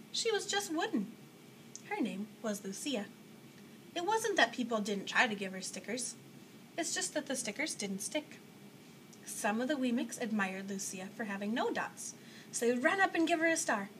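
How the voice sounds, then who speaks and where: A young woman reads a children's story aloud. Her voice sits on the high side. She is recorded in a small room by a camera a little way off, with mild background noise. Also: a bit bright, poor audio. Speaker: storybook reader. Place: small indoor room with block walls.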